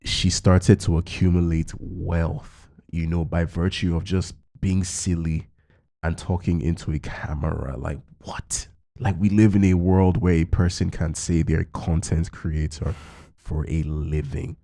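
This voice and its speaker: A man with a low voice and a gravelly, rough edge to it. He sounds dark in tone and talks in a meandering, reflective way, circling back on his points and filling the gaps with "like" and "you know". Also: steady tone, measured pace. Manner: musing, loose, peppered with fillers. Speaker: podcast host. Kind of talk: reflective conversational chat.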